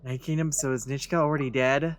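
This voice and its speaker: A man's voice: medium pitch, fairly even intonation, fast. A man giving casual let's play commentary as he reacts to a video game.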